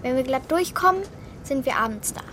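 Bold bossy young girl in a kids radio drama, high voice, no-nonsense, bit of background noise. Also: animated, a little fast, fairly bright tone. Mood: confident, bossy. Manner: blunt, matter-of-fact, self-assured. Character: bold, cheeky, resourceful young girl Martha. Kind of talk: children's radio play acting.

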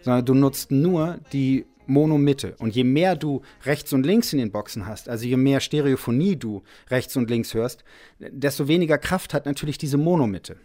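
Male interviewee, conversational storytelling, mid-range pitch, light music underneath. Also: animated, normal speed, mellow. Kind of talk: spoken anecdote and explanation about music mixing.

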